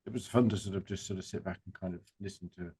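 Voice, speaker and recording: A man chatting casually as a drama director, halting in his phrasing and dryly jokey. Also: deep voice, fairly even intonation, dark tone, breathy edge, no echo. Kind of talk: casual chat.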